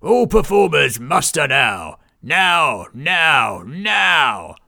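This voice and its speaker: A man's voice: mid-range voice, animated, moderate pace, gravelly, bright, forceful tone, dry recording, studio quality, pitched above the performer's own voice, full character voice. A man voicing a bossy male circus ringmaster who barks orders at his troupe in a storybook reading. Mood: commanding. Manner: barking commands.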